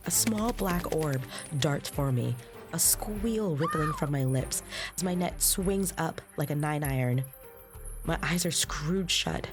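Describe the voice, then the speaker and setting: An actress playing an earnest young trainee, narrating her story in the first person in a measured, descriptive way. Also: low-pitched. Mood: tense, wry.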